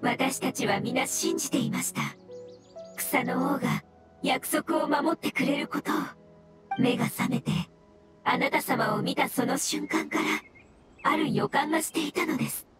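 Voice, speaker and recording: A woman's voice: high-pitched, unhurried, over loud music. A woman voicing a polite, sorrowful mushroom spirit in an anime game, formal and earnest. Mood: grateful, devout. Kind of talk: anime game dialogue acting.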